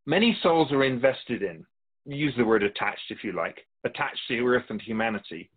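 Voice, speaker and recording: A man playing a calm, wise, otherworldly keeper of the soul realm in a radio drama, speaking in a measured, gently lecturing way. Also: fairly high pitch, husky. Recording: tinny phone-like sound.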